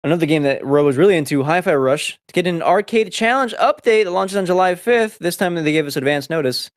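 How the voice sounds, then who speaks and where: A man who grew up speaking Spanish first, hosting a casual podcast and rattling off news items in quick succession. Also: a little high, lively intonation, no echo, studio quality. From United States. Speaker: podcast host.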